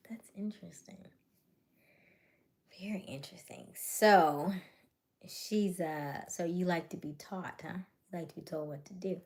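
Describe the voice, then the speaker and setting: Slow-talking woman in her late thirties. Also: fairly low pitch, darkish tone. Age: late 30s. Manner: teasing, playful, drops into asides. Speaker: livestream host. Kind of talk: casual live chat with viewers.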